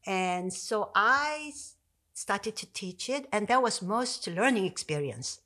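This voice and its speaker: A woman in her sixties, a native Korean speaker with a Korean accent, explaining language in an animated podcast chat, with many fillers and restarts. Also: medium pitch, slow. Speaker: Korean language professor, guest. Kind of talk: conversational explanation. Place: home study with bookshelves. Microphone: podcast mic on stand.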